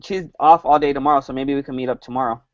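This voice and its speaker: A man's voice: mid-range voice, steady tone, quick pace. A man chatting casually as a deejay guest on a show, with a dull lo-fi sound. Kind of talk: casual chat. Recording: dull lo-fi audio.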